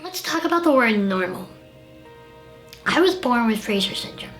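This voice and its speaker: A woman's voice: a little high, expressive, normal speed, a bit bright, faint music behind. A young woman hosting a personal documentary, narrating and interviewing in an earnest, candid way. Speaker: documentary host with the condition.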